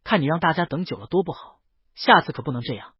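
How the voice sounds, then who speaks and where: A man's voice: fairly high pitch, lively intonation, quick pace, husky, dry recording, highs cut off. A man voicing a playful, cheeky young husband in an audio story, with a theatrical, mock-polite manner. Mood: mock scolding. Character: delicate-looking, cheeky, playful young male spouse.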